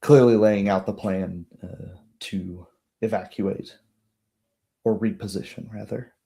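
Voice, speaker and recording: Low, mellow-voiced male game master. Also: fairly even intonation, slow pace, gravelly. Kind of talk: improvised tabletop game narration.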